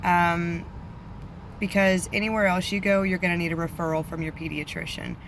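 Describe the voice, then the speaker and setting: Woman, allergy-rough voice, flat even delivery, chatty vlog with faint street noise behind. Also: fairly low pitch, moderate pace. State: voice a bit off from allergies. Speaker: vlogger, parent. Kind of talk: casual advice vlog.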